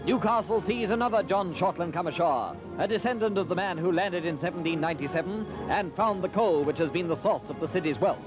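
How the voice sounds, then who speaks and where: A man's voice: high voice, a little fast, few pauses. A man reading formal, declamatory, celebratory 1940s newsreel narration on an old, dull soundtrack over music.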